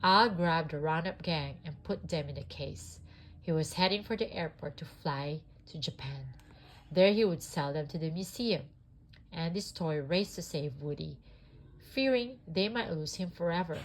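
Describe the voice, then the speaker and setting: A sniffly woman reading a children's storybook aloud in an expressive, homemade style. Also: low voice, moderate pace.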